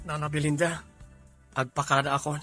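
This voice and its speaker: An earnest young man played by a man in an audio drama. His voice sits a little high and dry, with faint music behind it. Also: level tone, fairly quick, sharp tone, dry recording. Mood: earnest, eager. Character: earnest young male job-seeking cousin. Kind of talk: amateur Filipino audio drama acting.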